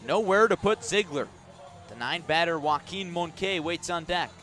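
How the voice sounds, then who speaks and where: A man with an American accent and a fairly high voice calls baseball live for radio. He describes the game in a measured way and punches up the action calls. He is outdoors at the ballpark, with some music around him. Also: expressive, pushed and bright. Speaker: baseball play-by-play announcer. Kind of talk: live radio sports commentary.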